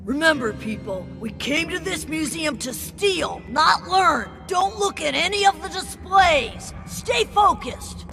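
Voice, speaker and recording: Mouthy kid henchman, real high cartoon voice, bossy, music playing under it. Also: lively intonation, a little slow, few pauses, full character voice. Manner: taunting, gruffly bossy. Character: tough, mouthy schoolyard bully henchman boy. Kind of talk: cartoon game voice acting. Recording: game music behind the voice.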